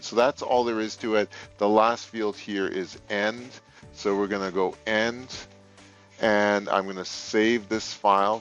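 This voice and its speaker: A man narrating a step-by-step software tutorial in a plain, informal, unscripted way, thinking aloud as he instructs. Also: fairly low pitch, dull audio. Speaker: software tutorial presenter for a telecom retailer.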